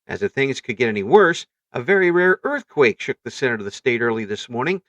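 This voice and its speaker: A man playing a radio network news anchor, reading a scripted newscast in a formal, measured announcer's delivery. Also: mid-range voice, very animated, a bit bright, no echo, highs cut off.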